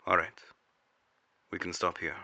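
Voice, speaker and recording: Casting: actor as serious male agency interrogator, deep and forceful, clipped official delivery, audio drama. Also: monotone, measured pace, creaky, no echo, muffled recording, full character voice. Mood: formal.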